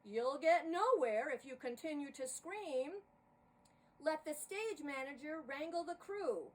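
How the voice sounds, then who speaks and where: Woman putting on a grand, booming, drawn-out male theatre saviour. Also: animated, far from the mic. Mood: firm, reassuring. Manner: grandly declaiming, commanding. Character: tall, grand, commanding male theatre saint, rescuing the show. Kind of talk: theatrical storytelling performance.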